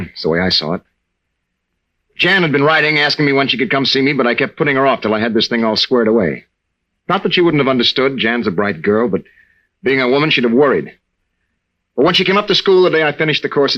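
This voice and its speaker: An actor playing a young American war veteran and newlywed husband, guarded yet teasing and affectionate, in 1940s radio drama style. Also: male voice, mid-range voice, a bit bright, lightly acted. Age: young adult. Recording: muffled old-time radio recording.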